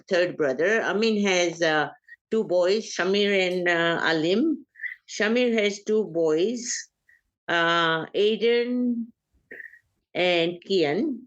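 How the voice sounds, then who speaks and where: Slow-talking older woman, lo-fi sound. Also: lowish voice. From Uganda. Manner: unhurried, hesitant, pauses often with "uh". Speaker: guest, family elder. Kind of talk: casual family interview.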